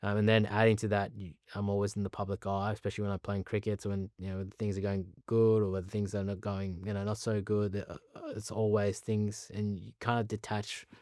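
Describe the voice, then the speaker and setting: A man in his late thirties with an Australian accent speaks candidly in a sit-down interview. His voice sits fairly low, and he comes across as earnest and chatty, often laughing at himself. The sound is dry and close, with no room in it. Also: little pitch movement, brisk, hardly any pauses, a little mellow, no room sound. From Pakistan, raised in Sydney. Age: 30s. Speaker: cricketer, interview guest.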